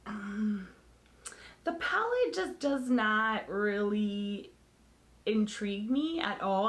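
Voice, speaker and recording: A woman talks straight to camera in a relaxed vlog chat, her voice hoarse and fraying as if she is losing it. She is candid and opinionated, blunt about what she won't spend money on, at a pitch in the middle for a woman. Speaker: beauty vlogger.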